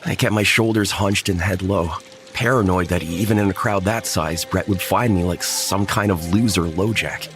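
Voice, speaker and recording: Male narrator, very low, tense first-person horror read, music bed behind. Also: moderate pace. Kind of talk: horror audio drama narration.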